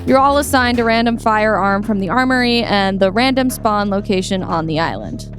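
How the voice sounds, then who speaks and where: A woman's voice: medium pitch, animated, normal speed, music in the background, close to an everyday voice. A woman playing a cocky, callous rich teenage boy gamer in an audio play. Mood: smug, offhand. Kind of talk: audio play table read.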